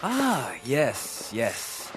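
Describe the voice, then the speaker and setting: An actor playing a courteous, eccentric, wistful inventor in an audio drama, speaking formally and hesitantly. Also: male voice, medium pitch, huge pitch swings, drawn-out words, music in the background, full character voice. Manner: formal, hesitant, fussy wording.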